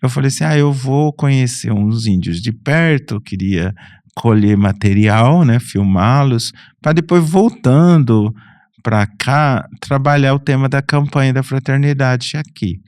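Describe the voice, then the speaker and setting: A middle-aged man from Brazil, a Franciscan friar and priest, recounting his life in an unhurried, relaxed way, often restarting and correcting himself. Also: medium pitch, no room sound, clean studio sound. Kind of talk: relaxed personal storytelling.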